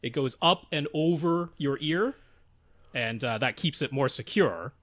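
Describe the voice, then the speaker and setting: A man's voice: fairly high pitch, lively intonation, a little slow, a bit bright, dry recording. A man co-hosting a podcast, explaining things casually and carefully qualifying what he says. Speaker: podcast co-host.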